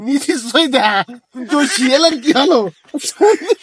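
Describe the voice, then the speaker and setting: A man playing a compulsive, excitable village storyteller in a comedy skit, insistent and repetitive, with a rural Bangladeshi Bengali accent. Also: very high-pitched, moderate pace. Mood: excitedly storytelling. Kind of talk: comedy skit acting with colloquial dialogue. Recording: clearly noisy recording with clicks.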